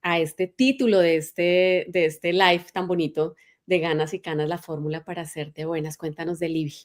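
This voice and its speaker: A woman's voice: lowish voice, fairly even intonation. A woman hosting an interview, prompting her guest with short, encouraging questions. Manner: encouraging, quick affirmations. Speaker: host. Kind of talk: conversational interview.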